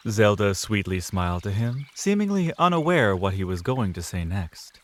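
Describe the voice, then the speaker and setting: A man narrating a fantasy audio drama in a measured, dramatic storytelling manner. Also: fairly low pitch, big pitch swings.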